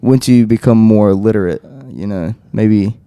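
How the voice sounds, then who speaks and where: A man's voice: fairly low pitch, normal speed, warm tone, clean tone, no room sound, clean studio sound. A young man with a Southern accent chatting casually on a podcast as the painter guest, laid-back, trailing off and asking blunt questions.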